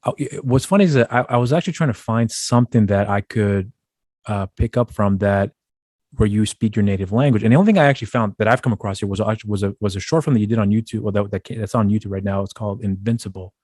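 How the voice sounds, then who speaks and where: A man's voice: on the low side, quick pace, a little mellow, no echo, studio quality. A man hosting an interview show, asking long, rambling questions with restarts. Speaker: podcast host.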